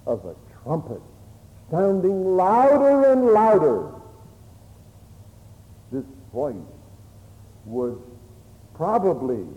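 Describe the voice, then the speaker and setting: A man preaching a solemn, oratorical Bible sermon, telling the story emphatically in short, halting phrases. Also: a little high, dark tone. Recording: echoey hall with long reverb.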